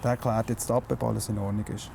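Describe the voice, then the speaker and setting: A man's voice: lowish voice, little pitch movement, fast, gravelly, dark tone, slight background noise. A man with a Swiss German accent, a police officer casually explaining his work on the job.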